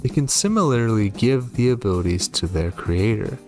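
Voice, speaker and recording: A man's voice: on the low side, lively intonation, moderate pace, music in the background. A man hosting a game playthrough, reading on-screen text aloud and adding short reactions of his own. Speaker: gaming video host.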